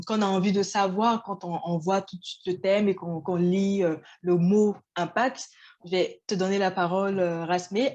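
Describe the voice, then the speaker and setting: A woman's voice: medium pitch, darkish tone, lo-fi sound. A woman moderating a webinar, passing the floor to guests and asking questions, with frequent hesitations. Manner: asks questions, hesitant with fillers.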